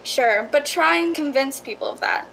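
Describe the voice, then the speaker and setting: Radio drama property seller played by a woman: bright, high, chatty, persuasive, folksy. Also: moderate pace, vocal fry, slight background noise, rough recording. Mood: eager, reassuring. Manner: eager, reassuring, folksy salesmanship.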